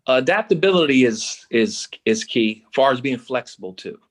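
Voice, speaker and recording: A man on a panel explaining his view conversationally, in halting, piecemeal phrases. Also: mid-range voice, expressive. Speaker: panel guest.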